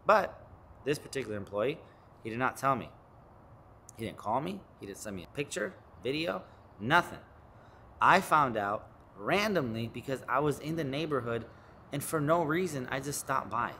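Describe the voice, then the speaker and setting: A man's voice: medium pitch, animated, moderate pace, sharp tone, slight background noise. A man in his thirties talks straight to a close camera with an ordinary mid-range voice. He is brash and emphatic, swearing freely and hammering his points by saying them twice. It sounds like an unpolished business vlog. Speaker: landscaping business owner and vlogger. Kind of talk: blunt business advice vlog. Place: home office with studio monitors, also outdoors and in a truck.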